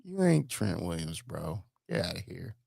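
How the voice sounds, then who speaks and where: A man's voice: low voice, very animated, moderate pace, gravelly, dark tone, husky, no echo, studio quality. An American man co-hosting a sports podcast, talking casually and emphatically about football with strong opinions, repeating phrases to drive them home.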